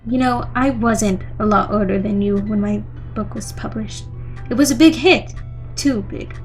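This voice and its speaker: A woman voicing a stern, gruff female pony librarian with a secret soft side and a blunt, folksy manner in a cartoon comic dub. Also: mid-range voice, unhurried, faint music behind, close to an everyday voice. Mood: confiding.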